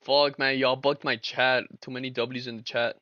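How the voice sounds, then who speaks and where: A man's voice: mid-range voice, little pitch movement, normal speed, pushed and bright, no room sound, highs cut off. A young man hosting a casual trading live stream, laid-back and slangy, talking to his chat. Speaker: livestream trader and host.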